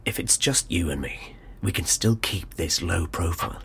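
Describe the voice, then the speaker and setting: An actor playing a cocky, scoffing male sidekick in an audio drama, with a cheeky, dismissive manner and a husky voice. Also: deep voice, expressive, normal speed. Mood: urgent, persuasive.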